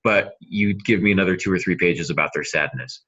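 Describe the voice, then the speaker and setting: Male podcast host, very low voice, explanatory and measured, slightly dull lo-fi sound. Conversational mentor delivery. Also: sharp tone, breathy edge. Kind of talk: conversational writing-advice podcast.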